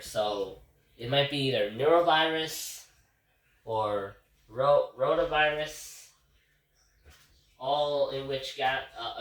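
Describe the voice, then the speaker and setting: A man's voice: mid-range voice, fairly bright tone. Congested man rambling at the viewers. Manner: rambling, keeps calling out to "guys". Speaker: vlogger giving a daily reflection. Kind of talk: casual rambling monologue. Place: home kitchen. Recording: roomy, distant kitchen recording.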